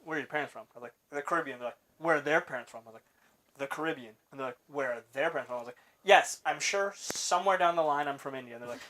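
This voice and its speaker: A man's voice: fairly high pitch, fast. A young Canadian man chatting casually on a podcast, telling stories and acting out what people said, laughing often. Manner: animated storyteller, laughs often, acts out quoted lines. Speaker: podcast co-host. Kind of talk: casual chat.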